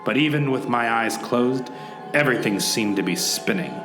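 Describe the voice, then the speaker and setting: A man acts out a swaggering, hard-boiled hero narrating a parody radio play. His mid-pitched delivery is animated and melodramatic. It has an echoey sound with music underneath. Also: moderate pace, close to an everyday voice. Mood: dramatic. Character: self-styled noir hero man, swaggering, overdramatic narrator.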